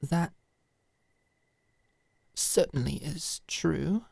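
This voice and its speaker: A proud but tender lady knight, acted by a woman, murmurs in a low, breathy voice. She speaks slowly and with restraint, close and dry, as in an intimate ASMR medieval roleplay. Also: dry recording, exaggerated voice. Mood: tender, apologetic. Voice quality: hushed, breathy.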